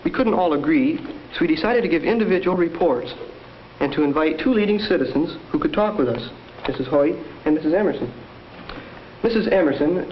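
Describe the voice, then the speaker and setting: A man's voice: a little high, natural voice. A young man playing a polite schoolboy who formally chairs a class discussion in a 1950s educational film. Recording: muffled 1950s film, faint noise.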